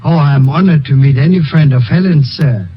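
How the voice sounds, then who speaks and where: An actor playing an eccentric, effusive pianist who gushes and stammers formally in a 1950s radio drama. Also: male voice, fairly high pitch, brisk, a little hiss or hum, close to an everyday voice. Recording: dull old radio recording.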